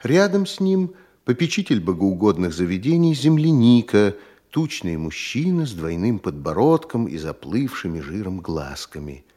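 Casting: male stage narrator with a deep, husky voice and lively, storytelling intonation. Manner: measured, descriptive storytelling. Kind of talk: scripted narration of a radio play.

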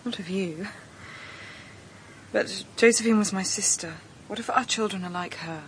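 A woman's voice: medium pitch, moderate pace, slight background noise, natural voice. An actress playing an anxious, principled young woman in a radio drama, with an earnest, questioning manner. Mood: troubled.

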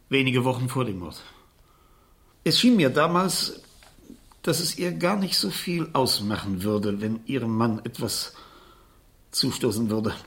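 An actor playing a troubled, reserved uncle in a German radio crime drama, speaking haltingly and searching for words. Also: male voice, medium pitch, a bit bright. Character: troubled uncle, reserved gentleman explaining his suspicions.

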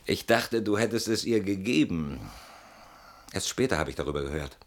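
Casting: bitter, tired, haunted middle-aged man for radio drama; theatrical, muttering to outbursts, very animated delivery. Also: low voice, moderate pace. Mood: weary, remorseful. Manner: brooding, mocking, swinging between muttering and outbursts. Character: weary, bitter man haunted by a betrayed old friend.

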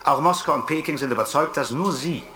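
An actor playing an earnest intelligence chief who speaks formally and emphatically in a 1980s science fiction radio play. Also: male voice, a little high, a little fast, bright, forceful tone, slight background noise. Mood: earnest, tense.

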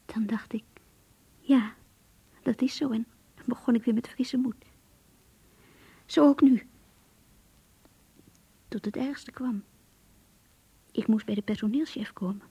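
A woman's voice: fairly high pitch, steady tone, takes it slowly, pauses often, warm tone, slightly rough, dry recording, heavily acted. An actress playing an earnest, melancholy young office clerk reading her diary in a radio drama, introspective and subdued. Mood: wistful, resigned.

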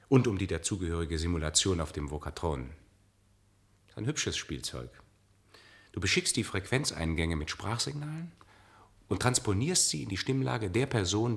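A deep-voiced male singer, wounded and jealous, in a radio drama. He speaks theatrically, moving between sardonic bite and brooding thought. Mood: pensive. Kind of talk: German radio play acting.

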